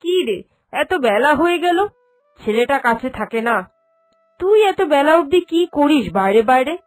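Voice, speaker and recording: A woman's voice: high-pitched, lively intonation, moderate pace, soft background music. A woman voicing an anxious, doting mother-in-law in a cartoon drama, with a plaintive, fretful manner. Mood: fussing, anxious. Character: anxious, doting mother-in-law fretting over her son. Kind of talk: Bengali cartoon drama dubbing.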